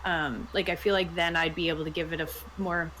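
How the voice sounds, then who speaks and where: A woman's voice: fairly low pitch, steady tone, brisk, a bit bright, a little hiss or hum, rough recording. A woman co-hosting a casual roundtable review in an informal, playful manner. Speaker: host and reviewer.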